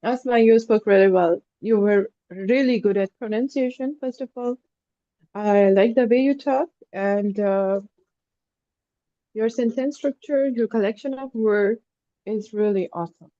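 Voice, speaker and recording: A woman teaching an online English class, giving students warm feedback and calling them dear. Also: medium pitch, measured pace, dry recording, muffled recording. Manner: encouraging, affectionate, calls students "dear". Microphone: online call.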